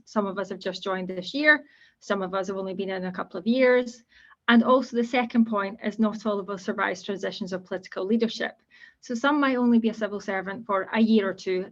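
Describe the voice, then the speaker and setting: A woman with a Scottish accent giving an online careers presentation as a guest speaker, explaining in a steady, informal way. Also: medium pitch. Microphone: video call. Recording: dull lo-fi call audio.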